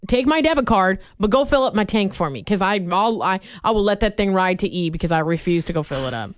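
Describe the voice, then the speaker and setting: A woman's voice: medium pitch, animated, fast, clear, resonant tone, no echo. A young woman co-hosting a radio show, chatting casually and gushing with easy laughs. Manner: bubbly, gushing, laughs easily. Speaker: radio co-host. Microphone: studio mic.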